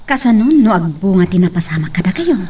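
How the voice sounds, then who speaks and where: A woman's voice: mid-range voice, very animated, quick pace, warm tone, slight background noise, close to an everyday voice. An amateur actress playing a smug young woman who taunts her rival and drops English words into her talk. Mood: taunting. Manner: taunting, mixing in English, laughing. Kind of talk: amateur drama acting.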